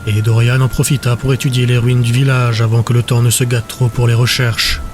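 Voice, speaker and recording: A man's voice: mid-range voice, little pitch movement, fairly quick, a little hiss or hum. A man giving scripted narration for a fantasy audiobook.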